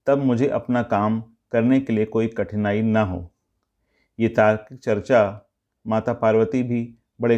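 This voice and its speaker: Guy reading a moral tale, unhurried and deliberate, kinda muffled lo-fi recording. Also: lowish voice, a little mellow. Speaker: storyteller and channel narrator. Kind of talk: scripted moral story narration.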